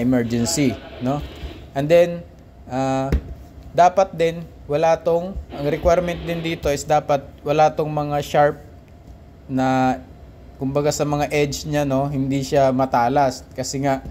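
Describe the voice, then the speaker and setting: A man's voice: mid-range voice, darkish tone, a little hiss or hum. A man, a ship's officer, casually demonstrating equipment aboard a vessel while filming on a handheld camera, with frequent tag questions and restarts. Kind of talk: casual instructional demo. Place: aboard a ship.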